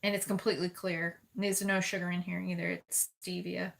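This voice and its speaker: A woman's voice: fairly low pitch, level tone, moderate pace, vocal fry, fairly bright tone. A woman with a nasal voice chatting casually on a livestream, showing food products. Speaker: co-host of a livestream.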